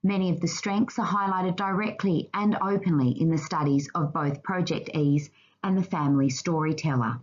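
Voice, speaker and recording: Woman, lowish voice, slightly dull recording, formal scripted presentation, measured delivery. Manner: formal, measured reading aloud. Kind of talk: scripted slide presentation narration.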